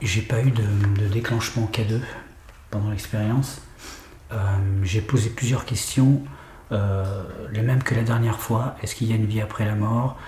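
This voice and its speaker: Man with a cold, mellow voice. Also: on the low side, fairly even intonation, moderate pace, roomy sound, slight background noise. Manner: hesitant with many fillers, sometimes whispering. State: has a head cold. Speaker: paranormal investigator vlogger. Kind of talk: hesitant talk to camera. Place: large room in an old house, indoors.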